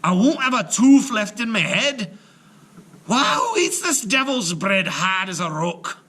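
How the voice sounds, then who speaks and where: A man voicing a boastful, bullying male giant in a folktale read aloud, exclaiming loudly. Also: high voice, big pitch swings, unhurried, husky, voice pushed up, full character voice. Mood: outraged. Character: blustering, bullying male Irish giant, loud glutton.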